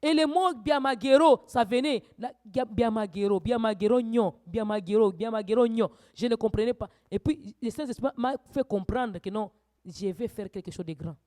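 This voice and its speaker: A woman preacher teaching a church sermon, swinging from quiet asides to loud, urgent exhortations. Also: fairly high pitch, normal speed.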